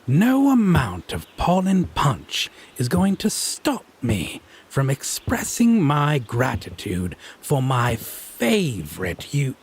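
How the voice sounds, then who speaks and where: A man's voice: mid-range voice, wildly swooping pitch, slow pace, few pauses, slightly rough, exaggerated voice. A man playing a smug, tipsy male fae who teases his lover with mocking, sardonic condescension in a theatrical audio roleplay. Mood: tipsy, playful. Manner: drawling, mocking, sardonic, pet names. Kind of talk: slow theatrical audio roleplay monologue addressed to listener.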